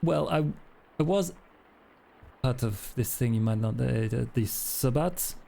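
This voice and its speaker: A man playing a creaky-voiced, shady vampire dealmaker. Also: medium pitch, animated, a little slow, dark tone. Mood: agreeable, wary. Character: shady male vampire drifter, eager, haggling, ex-cult wheeler-dealer.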